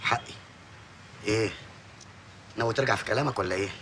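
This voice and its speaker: A man's voice: fairly low pitch, quick pace, pushed and bright, a little hiss or hum. An actor playing a frantic, wisecracking man with an Egyptian accent, who wails and jokes in a comic film scene. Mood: bickering. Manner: frantic, wailing, joking. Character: frantic wisecracking male sidekick.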